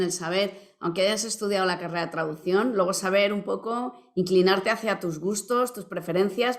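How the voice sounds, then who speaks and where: A woman co-hosting an informal interview in an encouraging, affirming conversational way. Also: mid-range voice. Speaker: interviewer, co-host.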